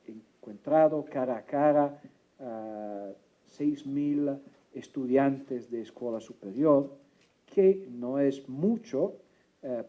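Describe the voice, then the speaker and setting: Italian guy, spanish isnt his first language, slow and halting, kinda muffled recording. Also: medium pitch. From Italy. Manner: halting, searching for words. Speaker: think tank representative. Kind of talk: presentation talk.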